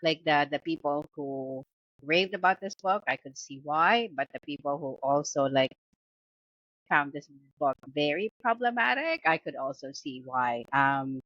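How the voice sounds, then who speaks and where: A woman's voice: sits low, fairly bright tone, dry recording. Chatty Filipino-accented woman, lo-fi. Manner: chatty, full of fillers and restarts, laughs often. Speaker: book podcast co-host. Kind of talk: casual book review chat. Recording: dull lo-fi dry sound.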